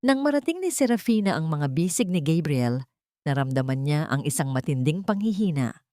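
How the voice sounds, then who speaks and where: A woman's voice: sits low, big pitch swings, moderate pace, mellow, no echo, clean studio sound. A woman reading a scripted romance drama story as its narrator, in a dramatic storytelling style.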